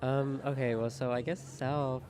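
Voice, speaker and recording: A man's voice: mid-range voice, level tone, a little slow, darkish tone. A man giving casual interview answers, informal and tentative in how he words them.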